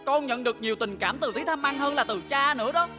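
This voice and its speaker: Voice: sits high, quick pace, music in the background, lightly acted. An actor playing an earnest, kindly young boy prince in a Vietnamese cartoon dub, pleading and sincere. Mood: resolute.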